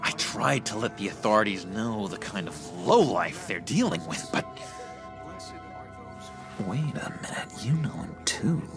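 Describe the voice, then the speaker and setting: An actor playing an eager, unhinged young schemer in a video game, halting and wheedling with sinister warmth. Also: male voice, on the low side, very animated, over music. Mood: bitter disgust. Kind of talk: video game character acting.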